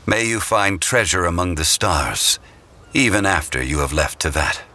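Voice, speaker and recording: An actor playing an ancient god in human guise in a video game, calm and dignified, speaking in a measured, formal, reflective way. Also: male voice, sits low, heavily acted. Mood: calm, assured. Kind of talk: scripted video game dialogue.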